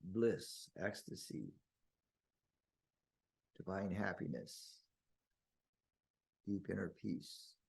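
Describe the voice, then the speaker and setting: A man leading a guided meditation in slow, deliberate phrases with long pauses and a husky, gravelly voice. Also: sits low, darkish tone.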